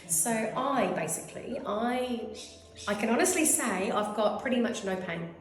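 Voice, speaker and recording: A woman's voice: fairly high pitch, expressive, unhurried. Rambling, effusive New Zealand woman in her fifties. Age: 50s. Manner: rambling, effusive, goes off on tangents. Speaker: clinic client giving a testimonial. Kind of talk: casual testimonial interview. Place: clinic room. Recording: very echoey room.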